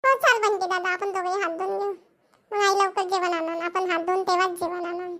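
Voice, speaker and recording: A little cartoon village girl, pampered and pleading. The voice is extremely high and piercingly bright, with a Vidarbha Marathi accent. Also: fairly even intonation, brisk. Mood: eager. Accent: Maharashtrian, Vidarbha Marathi. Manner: childlike, pleading. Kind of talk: cartoon family comedy dialogue.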